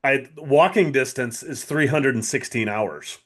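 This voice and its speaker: A man's voice: mid-range voice, a little slow, fairly bright tone. An American man co-hosting a casual podcast chat about television, agreeable and matter-of-fact in how he talks. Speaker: podcast co-host.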